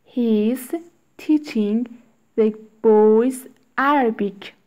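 Very slow, higher-voiced woman reading drills. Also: mellow. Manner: reads each short phrase slowly with long pauses. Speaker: English teacher. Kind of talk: slow read-aloud drill for learners.

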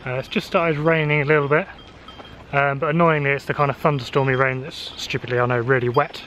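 A man's voice: medium pitch, little pitch movement, quick pace, a little hiss or hum, rough recording. A man in his thirties, vlogging casually into a handheld camera with dry, understated humour. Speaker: travel vlogger. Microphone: handheld camera at arm's length. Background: loud music.

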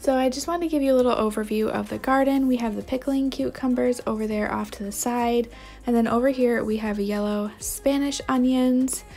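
A woman's voice: on the high side, a little fast, vocal fry, warm tone, faint music behind. A young adult woman giving casual vlog narration as a homemaker. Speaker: homemaking vlogger.

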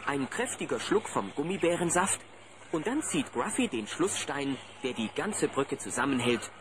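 A man's voice: a little high, very animated, moderate pace, very noisy. A man narrating a scripted children's audio drama as storyteller. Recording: loud music behind narration.

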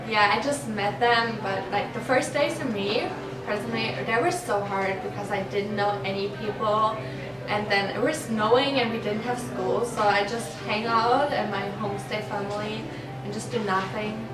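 A woman's voice: mid-range voice, fairly even intonation, moderate pace, over loud music. A teenage girl from Germany, an exchange student, chatting in a rambling way to the camera. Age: teens. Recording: roomy, distant camera mic.

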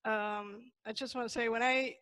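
A young woman from Peru, a Spanish native speaker with a Peruvian accent, gives an online lecture over slides, pausing often with fillers and restarts. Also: on the high side, steady tone, a bit bright, dry recording. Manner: thoughtful, many fillers and restarts. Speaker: webinar presenter.

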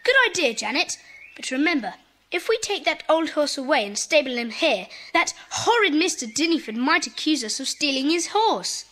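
A woman's voice: high voice, big pitch swings, moderate pace, a bit bright. A woman playing a confident, decisive schoolboy club leader in a children's audio drama. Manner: assertive, decisive.

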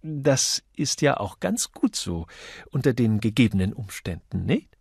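An audiobook office boss, acted by a male narrator, pompous and jittery. He is a man who speaks with abrupt, formal politeness and condescension. The voice is a little gravelly and rough, in a very dry recording. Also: medium pitch, big pitch swings, measured pace, darkish tone, no echo, full character voice. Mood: effusive, nervous. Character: pompous, nervous male advertising agency boss.

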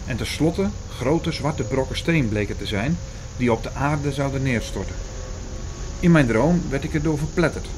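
An earnest, bookish male storyteller, played by an actor for an audio drama. He narrates in formal, measured phrases at a middling pitch. Clear ambient noise sits behind his voice. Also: lively intonation, natural voice. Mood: troubled, reflective. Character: Hugo, earnest male first-person narrator reading out prophecy.

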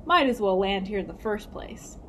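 A woman's voice: mid-range voice, lively intonation, moderate pace, fairly bright tone, a little hiss or hum, voice pushed down, lightly acted. Woman reading as jaunty, carefree daredevil storybook pilot. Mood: unbothered. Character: carefree daredevil young male glider pilot, jaunty mailman.

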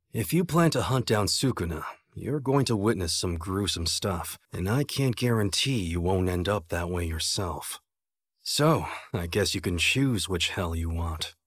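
A man's voice: low voice, fairly bright tone, breathy edge, no room sound, clean studio sound. An American man playing a cocky, playful young sorcerer teacher in an English anime dub, with a breezy manner.

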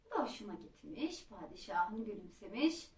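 A woman telling a children's folk tale in an expressive, dramatic traditional storytelling manner. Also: mid-range voice, normal speed. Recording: roomy echo, distant microphone.

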